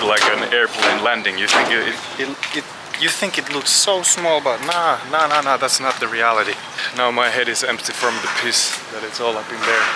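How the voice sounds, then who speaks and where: A man with a Finnish accent chatting casually and bluntly as a vlogger, swearing freely in an informal way. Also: mid-range voice. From Finland. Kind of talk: casual chat to camera. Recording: clear background noise, traffic and ambience.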